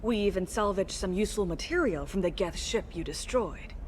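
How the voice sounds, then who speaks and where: An actress playing an earnest, polite alien woman in a video game, speaking in a measured, diplomatic manner. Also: mid-range voice, animated, slight background noise, close to an everyday voice. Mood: hesitant, grateful. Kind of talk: video game dialogue acting.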